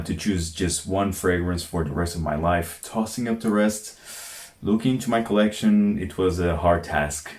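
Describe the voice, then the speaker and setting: Deep-voiced male fragrance reviewer from Portugal, eager, fires off scent notes in quick bursts; roomy echo. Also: a little mellow. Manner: enthusiastic, lists descriptors in short bursts. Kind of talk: guest segment in a video collaboration.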